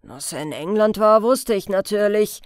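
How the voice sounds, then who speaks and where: Audiobook crime story: dry, sardonic chief inspector, deliberate and lively in pitch read by a woman, close dry sound. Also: no echo, lightly acted. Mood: matter-of-fact. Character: dry, sly male police chief inspector, quietly venomous.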